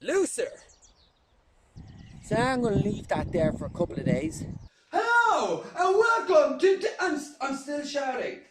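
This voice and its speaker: A man's voice: very high-pitched, huge pitch swings, measured pace, fairly bright tone, a little hiss or hum. A man giving playful, exaggerated comic instructions in a video. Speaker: comedy performer.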